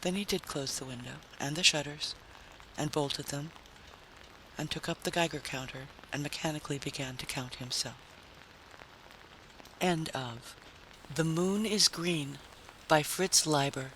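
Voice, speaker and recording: Bright, higher-voiced man narrating a story. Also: unhurried, slight background noise, rough recording. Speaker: audiobook narrator. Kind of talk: dramatic scripted story narration.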